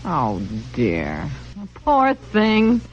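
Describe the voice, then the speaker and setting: An actress playing a flighty, soft-hearted, nervous wife in a mid-century American radio comedy, chattering hesitantly. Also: female voice, fairly low pitch, huge pitch swings, drawn-out words, exaggerated voice. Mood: fretful pity. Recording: muffled, noisy old radio recording.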